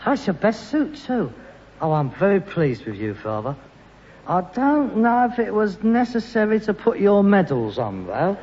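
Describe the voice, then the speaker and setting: An actor playing a put-upon, pretentious London son, hesitant, stammering and easily indignant, in British sitcom style. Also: male voice, high-pitched, wildly swooping pitch, a little slow, darkish tone, full character voice. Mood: awkward, polite. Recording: muffled, noisy archive recording, echoey hall.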